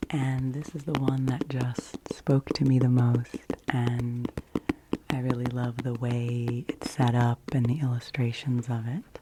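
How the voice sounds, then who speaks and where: A woman in her forties gently presenting in a hushed, partly whispered ASMR style, unhurried and close to the microphone. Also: rumbling low, very noisy. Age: 40s. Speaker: ASMR host. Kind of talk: soft ASMR card reading, partly whispered.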